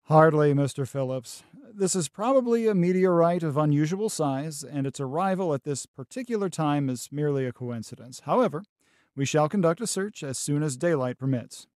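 A man performs a self-assured professor of astronomy for an old radio play. His voice sits a little high, with measured scholarly phrasing and a dismissive certainty. The recording is dry and close. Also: expressive, a little mellow, dry recording, natural voice. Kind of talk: 1930s radio drama acting.

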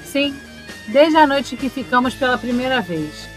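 A woman's voice: high voice, expressive, measured pace, soft background music. A woman playing a devoted, probing girlfriend in an audio drama. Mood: tender, probing.

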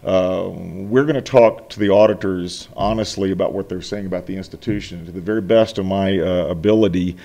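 Man, middle-aged, low voice, formal board report, earnest, emphatic, desk microphone, meeting room. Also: fairly quick, vocal fry, hardly any pauses, mellow. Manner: earnest, emphatic, with frequent restarts. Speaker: college finance officer. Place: meeting room, seated at a table.

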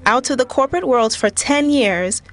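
Woman, fairly high pitch, scripted news voice-over, even delivery. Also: moderate pace. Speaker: reporter narrating a news feature.

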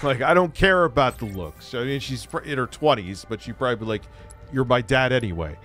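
Guy ranting bout drama while gaming, cursing lots, music loud behind him. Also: mid-range voice, lively intonation, a little fast, a crowd in the background. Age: middle-aged. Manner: blunt, profane, rambling. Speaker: gaming commentary streamer. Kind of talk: casual rant while playing. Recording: game music under voice. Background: game sounds and music.

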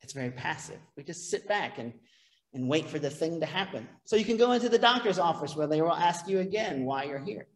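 A man's voice: on the high side, animated, brisk. Middle-aged man preaching in a reverberant church. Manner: conversational, self-deprecating preaching with asides. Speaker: priest. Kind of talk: sermon. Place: church sanctuary, behind a pulpit.

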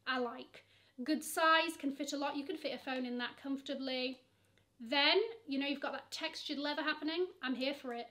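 Woman, twenties, fashion vlogger, high bright voice, sassy gushing chatter. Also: fairly even intonation, moderate pace. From England. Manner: sassy, gushing, calls bags "she". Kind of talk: chatty online shopping commentary. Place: bedroom with shelves of shoes.

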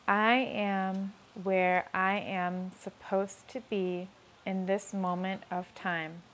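A woman speaks at an easy middle pitch, very slow and measured. She guides listeners through self-affirmations, repeating each line twice. A faint outdoor hush sits under her voice. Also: flat delivery, a little hiss or hum. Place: outdoors on a rainy day.

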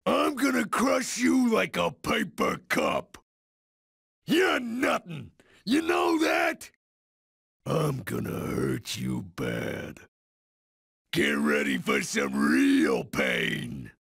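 A brutish video game villain, with a heavily gravelled, husky voice. He bullies and boasts in slow combat taunts, cocky and menacing. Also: mid-range voice, huge pitch swings, fairly bright tone, dry recording, shouting, studio quality, heavily acted. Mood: menacing, taunting. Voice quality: gravelly, raspy. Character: brutish, cocky male supervillain bruiser, taunting and bullying.

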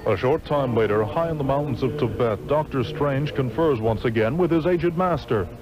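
A man's voice: mid-range voice, normal speed, loud music behind the voice, lightly acted. A man narrating a superhero radio serial in a solemn, dramatic scripted style. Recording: dull 1960s radio recording.

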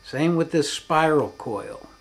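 A man giving an unscripted demonstration as a hobbyist, in halting, broken phrases with emphatic stresses. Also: fairly high pitch, lively intonation. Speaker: hobbyist demonstrating his electronics experiments.

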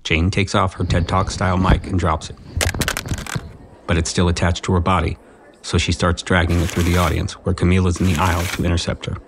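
A man's voice: low-pitched, little pitch movement, a little fast, very noisy. A man narrating the action of a romantic comedy audio drama from a script. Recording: music bed behind the voice.

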